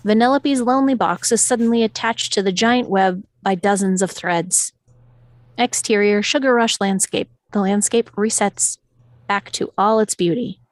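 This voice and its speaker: A woman narrator reads out scene directions from a script. Her voice sits at a middle pitch, dramatically engaged, and the sound is dry with no room around it. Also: no echo. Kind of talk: scripted narration at a table read.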